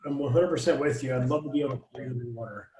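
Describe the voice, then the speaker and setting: A man's voice: mid-range voice, very fast, mellow, echoey room. A man hosting a casual video-call welcome as a residency program director, upbeat and jokey. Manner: upbeat, jokey, friendly banter.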